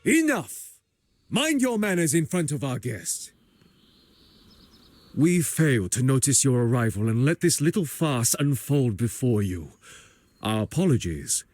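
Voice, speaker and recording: An actor voicing a formal, polite and authoritative retainer in a video game. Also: male voice, medium pitch, sing-song, a little slow, long pauses, a little mellow, no room sound. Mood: stern, courteous. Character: courtly, commanding male retainer of a master.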